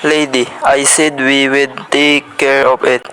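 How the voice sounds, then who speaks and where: A young actor playing a weary, busy police officer in a school radio play, curt and dismissive. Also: male voice, mid-range voice, level tone, unhurried, pushed and bright, low-quality recording.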